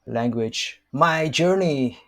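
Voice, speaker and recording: A man in his fifties from Nepal, whose first language is Newari, reading his own book aloud in a measured, hesitant way with frequent fillers. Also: mid-range voice, fairly bright tone. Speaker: author and language counselor.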